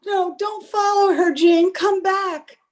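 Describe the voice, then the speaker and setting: An anxious young sweetheart in an old-time radio drama, played by a woman. Her high voice is earnest and fretful, devoted and persistent, with a melodramatic delivery. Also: fairly even intonation, slow. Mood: frightened. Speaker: amateur radio play actor. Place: home, over a video call.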